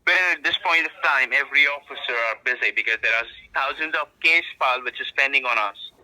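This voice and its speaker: Sharp-voiced insistent man on a phone. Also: mid-range voice, fast. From Asia. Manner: insistent, threatening, repetitive. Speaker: phone scammer posing as tax agent. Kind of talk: scripted pressure phone call. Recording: tinny, sharp telephone line.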